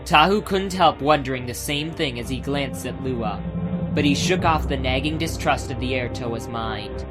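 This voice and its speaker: A man's voice: mid-range voice, moderate pace. A man giving a scripted, dramatic narration of a fantasy audiobook. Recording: music behind the voice.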